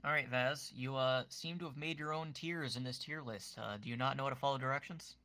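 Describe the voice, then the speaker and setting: A man with a bright, sharp voice hosts a casual online interview. He asks playful, teasing questions, and his voice sits at a middle pitch. He is recorded on a desktop mic. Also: a little fast.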